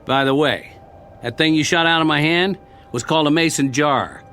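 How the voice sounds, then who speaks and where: An actor playing a weary, wry American ex-soldier, plain-spoken and coaxing, in a radio drama. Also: male voice, on the high side, normal speed, a bit bright, soft background music, natural voice. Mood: wry, firm. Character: weary, wry male ex-soldier surviving after war.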